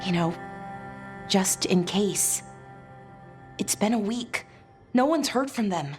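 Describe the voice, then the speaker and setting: A woman voicing an anxious, earnest young elf adventurer in a video game, halting and quick to blame herself. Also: mid-range voice, expressive. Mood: anxious, guilty. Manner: halting, hesitant, repeats herself. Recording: game music behind the voice.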